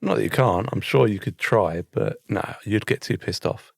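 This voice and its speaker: A man's voice: fairly low pitch, moderate pace, creaky, husky, dry recording, clean studio sound. A British man co-hosting a podcast in casual comedic banter, teasing and sarcastic with plenty of swearing. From Britain. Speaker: podcast co-host.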